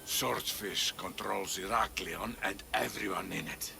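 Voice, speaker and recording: A male actor playing a weary, wary old informant in a video game cutscene, gravelly and warning. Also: on the low side, unhurried, sharp tone, a little hiss or hum, heavily acted. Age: older. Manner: hesitant, warning.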